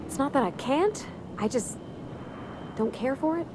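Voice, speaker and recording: An actress playing a sweet, shy young ship mechanic in a video game, speaking with a rural Southern American accent in a folksy, hesitant, earnest way. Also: female voice, high voice, animated, a little slow, background noise. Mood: wistful, candid. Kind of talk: video game character acting.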